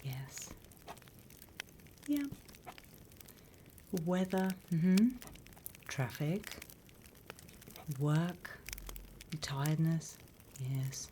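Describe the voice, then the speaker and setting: A British woman voicing a prim demon teacher in a soft, deliberate whispered ASMR roleplay. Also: low voice, expressive, a little hiss or hum, exaggerated voice. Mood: encouraging. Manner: soft, deliberate, instructional, with pauses. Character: female demon teacher, softly menacing, encouraging, prim.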